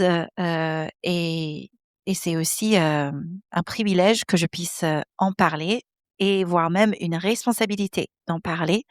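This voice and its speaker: A woman's voice: lowish voice, dry recording, studio quality. American woman hosting her podcast, hesitant. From United States, Midwest. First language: English. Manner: hesitant, searching for words, many fillers. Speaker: podcast host. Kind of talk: personal monologue. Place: home office.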